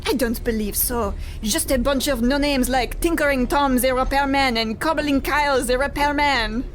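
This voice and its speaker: Woman playing a high-voiced flirtatious femme fatale. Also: normal speed, a little hiss or hum, close to an everyday voice. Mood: coy, dramatic. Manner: coquettish, theatrical. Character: flirtatious French femme fatale opera singer. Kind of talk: old-time radio comedy acting.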